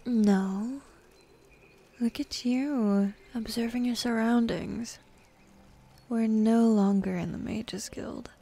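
A young woman voicing a bossy, teasing demon woman in an intimate, half-whispered ASMR roleplay, with a husky, breathy voice and a sardonic drawl. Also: fairly high pitch, slow pace, pauses often, mellow. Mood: teasing, smug.

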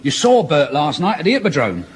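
An actor playing an eager, chatty older lance corporal in an old radio sitcom. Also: male voice, fairly high pitch, very animated, a little fast, breathy edge, heavily acted. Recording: old radio broadcast, hiss.

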